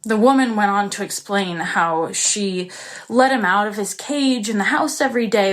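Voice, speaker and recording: An actress playing a warm-hearted, excitable young woman who tells her own story in an expressive, confiding dramatic monologue. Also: medium pitch, natural voice. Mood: confiding, wondering. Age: young adult. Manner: expressive, confiding, breaks into small laughs. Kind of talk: dramatic first-person monologue.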